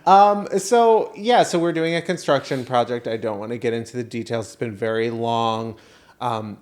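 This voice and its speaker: A man with a mid-pitched voice chats as a podcast co-host. He tells comedic stories in an animated, dramatic manner, voicing people's reactions as he goes. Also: moderate pace. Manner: animated, dramatic storyteller who acts out reactions and quotes. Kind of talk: casual comedic storytelling chat.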